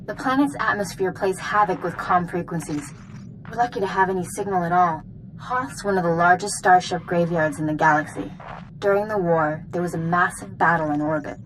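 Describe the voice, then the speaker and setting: A woman playing a resolute knight commander in a sci-fi video game, giving brisk, earnest, authoritative briefings. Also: on the low side, slight background noise, lightly acted, low-quality recording. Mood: resolute, urgent. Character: resolute female Jedi Knight, military commander. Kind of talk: video game dialogue acting.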